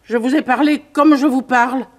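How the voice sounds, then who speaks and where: An actress playing a fussy, easily frightened old spinster neighbour in a French radio drama. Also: female voice, steady tone, normal speed. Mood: prim. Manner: shrill, flustered exclamations.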